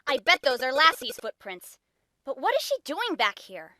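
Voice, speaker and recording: A woman voicing a curious, hesitant young schoolgirl adventurer in a game fan dub, questioning and expressive. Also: normal speed, no room sound, studio quality, heavily acted. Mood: curious, unsure. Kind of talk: scripted fan dub acting. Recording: rumbling noisy home recording.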